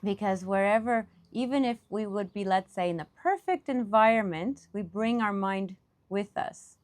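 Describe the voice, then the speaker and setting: Female meditation teacher, medium pitch, unscripted guided-practice delivery with reflective pauses. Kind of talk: guided meditation talk, spoken off the cuff.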